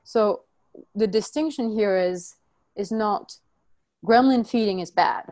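Woman, from France, workshop facilitator, halting, frequent restarts, explaining slowly. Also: medium pitch, a little mellow. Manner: halting, many restarts and self-corrections. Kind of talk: teaching a group, reading from notes.

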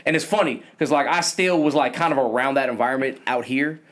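A man's voice: medium pitch, a little fast, a bit bright. A man born in Vietnam, talking casually and telling stories in a laid-back, slangy way that often breaks into laughter. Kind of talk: casual chat.

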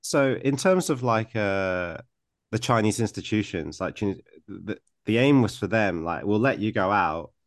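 A man's voice: lowish voice, animated, a little slow, no room sound, clean studio sound. A man hosting a podcast interview, asking loose questions padded with many fillers. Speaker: podcast host. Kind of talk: casual interview chat.

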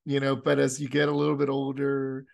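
A man in his late forties hosts a faith conversation in an earnest, musing way. His mid-pitched voice is heavily creaky, and he offers soft murmurs of agreement while the guest talks. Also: monotone, a little fast. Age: 40s. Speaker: podcast host. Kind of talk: conversational interview.

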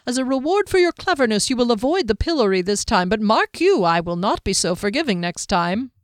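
A woman voicing a composed male leader in an audiobook, careful, measured and dryly amused. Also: mid-range voice, expressive, no room sound, clean studio sound, close to an everyday voice. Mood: amused, stern.

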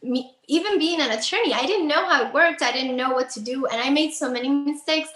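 Cuban-accented woman, bright and clear, higher pitch, guest attorney, earnest, halting phrasing, roomy echoey sound. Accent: Cuban Spanish. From Cuba. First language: Spanish. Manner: earnest, searching for words, repeats herself. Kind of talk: conversational interview.

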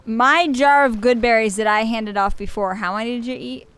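Player: young woman, excitable, quick to react, slightly high voice. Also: clean tone. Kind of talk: casual tabletop play.

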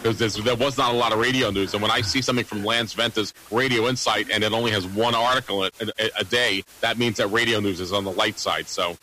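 A man's voice: fairly low pitch, steady tone, fast, hardly any pauses, bright, forceful tone, a little hiss or hum, low-quality recording. A man hosting a casual call-in radio discussion, chatty and repeating phrases as he goes. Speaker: radio talk show host.

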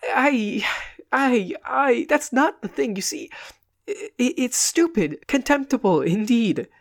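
A woman's voice: on the high side, lively intonation, slow, pitched above the performer's own voice, heavily acted. A woman voicing a flustered, stammering male comic actor in a storybook reading. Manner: stammering, exasperated. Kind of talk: dramatic audiobook reading.

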